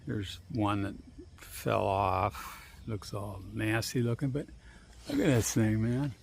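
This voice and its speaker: A man vlogging casually while walking outdoors, rambling and swearing freely, with long pauses. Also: lowish voice, gravelly, a little hiss or hum. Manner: rambling, profane, drawn-out pauses. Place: outdoors on a walk. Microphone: handheld phone.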